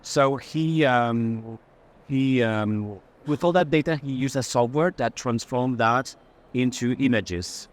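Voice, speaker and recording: Man, mid-range voice, gallery guide, halting and informal, explaining work on the spot. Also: mid-range voice. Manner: halting, pausing often to find words. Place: art fair booth.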